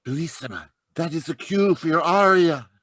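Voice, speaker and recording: Man to play a puffed-up, dramatic opera director for a comic radio spot, forceful. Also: fairly high pitch, expressive, moderate pace, no room sound. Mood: pompous, aghast. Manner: theatrical, aghast. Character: pompous, excitable male opera maestro in a gum ad. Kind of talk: old-time radio comic advertisement.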